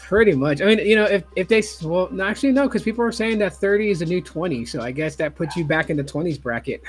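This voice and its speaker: Host, man, 40s, fairly high voice, loose and profane, faint music underneath. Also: expressive, fast talker. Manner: casual, swears freely, acts out quotes. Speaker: YouTube host. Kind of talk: casual podcast chat.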